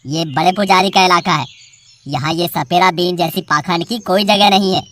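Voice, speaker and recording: A woman's voice: fairly low pitch, normal speed, fairly bright tone, natural voice. A woman voicing an arrogant young man, a priest's son, in a cartoon story, speaking in a bossy, scornful tone.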